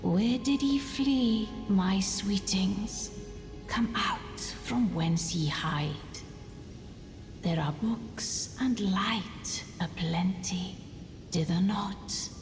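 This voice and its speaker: Fantasy game sorceress queen: extremely unhurried, coaxing and menacing, archaic diction, long hall reverb. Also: medium pitch. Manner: slow, theatrical, archaic diction. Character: regal sorceress queen and mother, eerily coaxing, veiled menace. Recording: long echoing hall reverb, loud music behind. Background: music.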